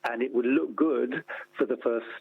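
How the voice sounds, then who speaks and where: A man being interviewed on the radio, explaining policy in a measured way with repetition for emphasis. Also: mid-range voice, creaky, slightly rough, no room sound. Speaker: interview guest, policy campaigner. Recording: thin phone-like line.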